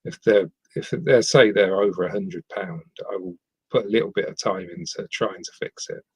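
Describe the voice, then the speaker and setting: A man's voice: sits low, moderate pace, husky, no echo. A middle-aged man from the West Midlands, a podcast guest talking hesitantly with many ums. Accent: English, not Scottish. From West Midlands, England. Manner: hesitant, frequent ums and restarts. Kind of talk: casual interview chat.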